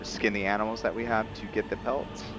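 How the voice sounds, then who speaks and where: A man giving casual commentary as he plays a roleplaying game, thinking aloud in a halting way. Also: on the low side, little pitch movement, music in the background, low-quality recording. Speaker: gameplay host.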